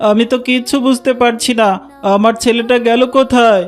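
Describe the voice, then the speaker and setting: A man's voice: very high voice, level tone, normal speed. A man voicing an anxious middle-aged father in a children's cartoon. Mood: worried. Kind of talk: animated cartoon dialogue.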